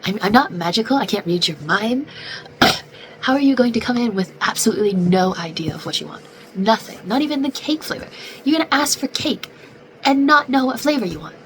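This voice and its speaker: A woman's voice: a little high, lively intonation, brisk, fairly bright tone. A young woman in her twenties chatting casually in a vlog, congested and close to losing her voice, filling her talk with "like". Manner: chatty, venting, many "like" fillers. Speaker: vlogger. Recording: noisy background.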